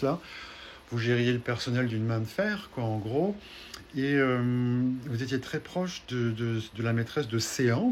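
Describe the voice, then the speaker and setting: Man, middle pitch, casual testimony voice, halting with fillers, faint hiss behind. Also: darkish tone. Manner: hesitant, many fillers and restarts. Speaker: soul healer sharing a session.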